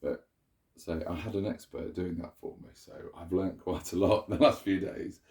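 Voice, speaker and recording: A man's voice: low-pitched, steady tone, normal speed, a little mellow. A man with a stuffy cold chattily shows off a vacuum cleaner in a sunny room indoors, filming it up close with a handheld camera. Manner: chatty, meandering, self-correcting. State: nasal from a cold. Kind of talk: casual show-and-tell demonstration.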